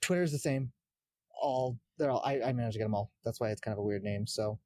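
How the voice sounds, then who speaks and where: A man's voice: mid-range voice, fast, warm tone, no echo. A man chatting informally as a podcast guest and game streamer. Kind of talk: casual chat.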